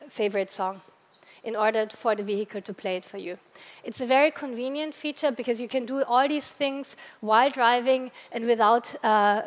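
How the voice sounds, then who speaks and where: A woman with an Austrian accent presents a product at a press event. She has a somewhat high voice and explains features step by step, now and then pausing or restarting a word. A long hall reverb trails after her voice. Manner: explanatory, with occasional hesitations and restarts.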